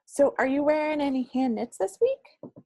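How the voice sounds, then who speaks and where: A woman's voice: sits high, animated, normal speed, warm tone, dry recording, clean studio sound. A woman co-hosting a knitting podcast, chatting in a casual, rambling, unscripted way. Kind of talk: casual, unscripted craft chat.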